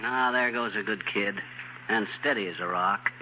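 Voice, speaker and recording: An actor with an American accent playing a blustery, folksy, wisecracking husband in a 1940s radio comedy. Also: male voice, fairly low pitch, moderate pace, natural voice. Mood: sentimental, folksy. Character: blustery, folksy middle-aged husband, quick to needle. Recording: tinny, very noisy old radio recording.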